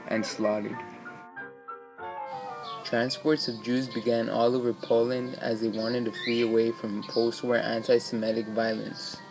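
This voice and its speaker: Flat-toned male documentary narrator over soft music. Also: mid-range voice, rough recording.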